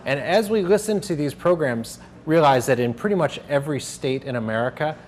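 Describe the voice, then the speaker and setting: A man hosting a workshop, explaining to a group in a halting, conversational way as he moderates. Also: fairly high pitch, a little mellow. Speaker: workshop host and panel moderator. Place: room at a workshop. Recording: noisy room recording.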